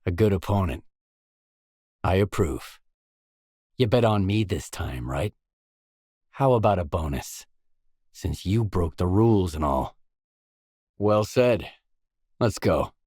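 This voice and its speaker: A wary stalker for hire from a video game, speaking in a lowish male voice. He is blunt and terse, keeping things dry and matter-of-fact. The recording is very dry, with no room sound around the words. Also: animated, slow, pauses often, darkish tone, dry recording, full character voice. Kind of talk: scripted game voice lines.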